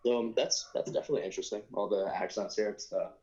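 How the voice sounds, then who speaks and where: A man's voice: on the low side, fast, dark tone, dull audio. A young American man with a New York accent, a podcast guest chatting casually and rambling, with many fillers and restarts. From United States. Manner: rambling, hesitant, lots of fillers and self-corrections. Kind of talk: casual conversation.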